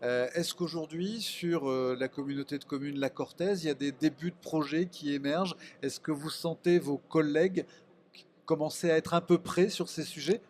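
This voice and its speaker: A man's voice: on the high side, fairly even intonation, moderate pace, darkish tone, a little hiss or hum. A man interviewing conversationally, a little hesitant, with short acknowledgements between his questions.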